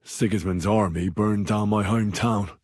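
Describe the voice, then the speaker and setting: Male actor as young medieval man, husky, dry close recording, blunt, righteous, earnest, video game dialogue. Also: fairly low pitch, fairly even intonation, normal speed, a little mellow, no room sound, lightly acted. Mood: earnest, matter-of-fact.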